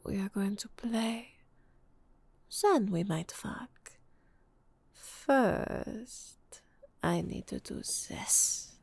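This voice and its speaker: A woman whispering a teasing, breathy roleplay, slipping in French endearments and soft laughs. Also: mid-range voice, animated, extremely slow, long pauses, no echo. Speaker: audio roleplay performer.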